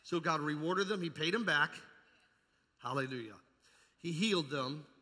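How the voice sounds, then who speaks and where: A man preaches with a fairly high, sharp, forceful voice. His delivery is fervent and emphatic, leaning on repeated phrases and rhetorical questions to the congregation. The sound carries the long reverb of a large amplified church. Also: normal speed. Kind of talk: charismatic evangelical sermon. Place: large indoor church hall.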